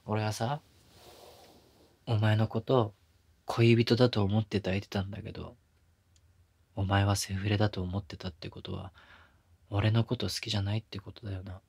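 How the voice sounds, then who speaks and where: A man's voice: on the low side, flat delivery, normal speed, gravelly, pauses often, a little mellow, breathy edge. A man playing a smitten, apologetic young boyfriend in an intimate ASMR voice drama, speaking casually and tenderly. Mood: hurt, apologetic.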